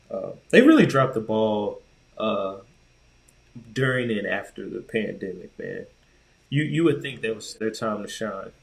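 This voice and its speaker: A man's voice: on the low side, creaky, rough recording. A man co-hosting a podcast, chatting casually in a laid-back, slangy way. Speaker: podcast co-host. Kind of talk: casual chat.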